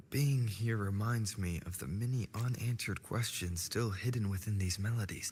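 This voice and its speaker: A man's voice: fairly low pitch, slight background noise, close to an everyday voice. A man voicing a young male hero in a video game, thoughtful and inquiring as he ponders clues. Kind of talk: game voice acting. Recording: game audio with faint music.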